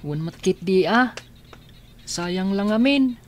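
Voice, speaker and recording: A woman's voice: medium pitch, animated, takes it slowly, slight background noise. A woman with an Ilocano accent playing a wistful, grateful blind schoolboy in a radio drama.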